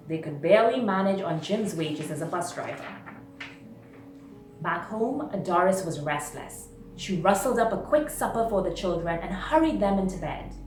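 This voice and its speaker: A woman reading a short story aloud in a dramatic, expressive narrating style. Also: mid-range voice. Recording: roomy, distant mic, music behind. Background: music.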